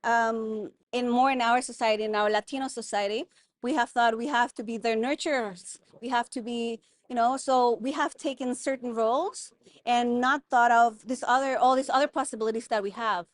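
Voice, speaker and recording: Earnest woman, slightly high voice. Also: fairly even intonation, normal speed. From Guatemala. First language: German. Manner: thoughtful, earnest, many fillers and restarts. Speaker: guest, mining consultant. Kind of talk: conversational interview.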